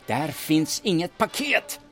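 A man's voice: a little high, very animated, a bit bright, faint music behind. A man acting a self-assured, defiant courier in an audio drama, answering with dry retorts.

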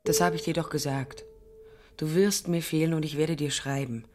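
A woman's voice: deep voice, steady tone, normal speed, dark tone, soft background music, lightly acted. An actress in a radio play playing a firm, protective mother, speaking in an instructing, restrained manner. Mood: earnest reassuring.

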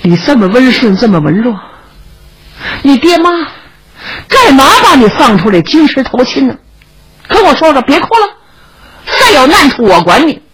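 A woman's voice: sits high, big pitch swings, moderate pace, long pauses, slightly rough, voice pushed up, exaggerated voice, poor audio. A woman voicing a brave young swordsman in a storytelling performance, chatty, coaxing and a little boastful.

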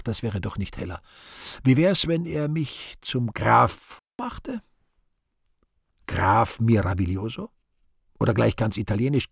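A vain, scheming devil in a radio play, brooding aloud to himself. The voice is gravelly and husky, swinging widely from low muttering to high exclamation. It is recorded dry and close. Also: male voice, no echo, full character voice. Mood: vain daydreaming. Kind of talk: theatrical radio play monologue.

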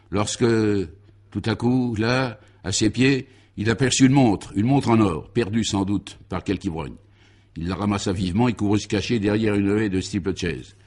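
A man's voice: fairly low pitch, darkish tone. A man narrating a scripted absurd comic radio serial in a mock-solemn, grandiloquent and emphatic way.

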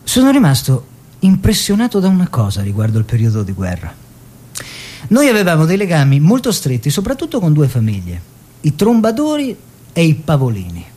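An actor playing a proud, stubborn, food-loving Italian painter husband in a stage-style drama, with an opinionated, fussy manner. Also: male voice, a little high, wildly swooping pitch, a little fast, mellow. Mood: reflective. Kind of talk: theatrical two-hander, letters and dialogue.

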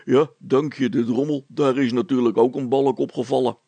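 A man voicing a grumbling, mocking village policeman in a children's story, hoarse and colloquial. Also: mid-range voice, steady tone, normal speed, mellow, dry recording, pitched below the performer's own voice, close to an everyday voice. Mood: chuckling, teasing. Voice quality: hoarse, gravelly. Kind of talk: children's story character acting.

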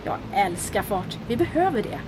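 An actress voices a daring woman pilot, confident and dryly humorous. Her voice sits mid-range, and a constant engine rumble sits under the lines. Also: very animated, close to an everyday voice. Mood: wry. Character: daring woman pilot, speed-loving adventurer. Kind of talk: audio drama acting.

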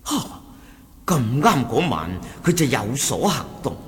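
A man's voice: medium pitch, very animated, measured pace. An actor with a Cantonese accent playing a suspicious martial-arts sect lieutenant who reports deferentially to his chief in a radio drama, with emphatic exclamations. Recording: long reverberant hall echo.

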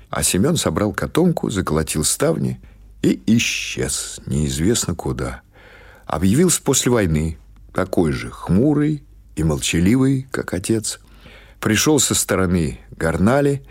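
Low-voiced man narrating literary prose over music. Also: darkish tone. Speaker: audiobook narrator.

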